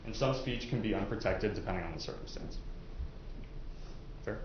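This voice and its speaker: Lawyer guy w a low voice talking to a jury pool, kinda halting and chatty, dull echoey courtroom audio. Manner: halting, conversational, hedging with "I guess" and "you know". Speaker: lawyer questioning prospective jurors. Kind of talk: informal courtroom questioning and explaining. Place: indoor courtroom. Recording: echoey courtroom, dull sound, faint noise.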